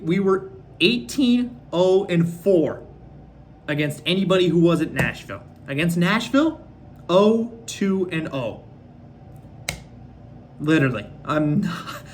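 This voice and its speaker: A young man from Minnesota hosting a casual fan recap, rambling and excitable, his voice a bit worn from screaming. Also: on the high side, a little hiss or hum. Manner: rambling, excitable, lots of fillers. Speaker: fan podcast host. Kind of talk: casual solo recap of a hockey game.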